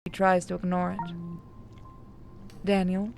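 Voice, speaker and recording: Woman narrating, slightly low and creaky. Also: level tone, measured pace, music in the background. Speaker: game streamer reading a visual novel aloud. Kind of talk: scripted narration with casual asides.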